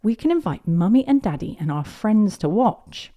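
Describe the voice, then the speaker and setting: A woman voicing an excitable, giggly little girl pig for a children's storybook, eager and exclaiming. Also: medium pitch, normal speed, lo-fi sound, voice pushed up, lightly acted.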